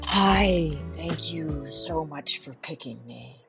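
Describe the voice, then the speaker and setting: A woman playing an anxious, prudish, suspicious caller to a comic advice show, speaking softly and hesitantly with earnest, dramatic pauses. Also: sits low, expressive, gravelly, over music, heavily acted, rough recording. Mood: worried. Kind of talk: improvised comic call-in radio show.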